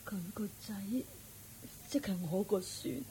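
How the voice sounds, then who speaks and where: A woman's voice: mid-range voice, a little slow, vocal fry, dark tone, slightly rough, exaggerated voice, poor audio. An actress playing an aggrieved, vengeful old mother-in-law in a Hong Kong Cantonese radio drama, melodramatic and wailing, repeating her phrases. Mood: fearful, hesitant.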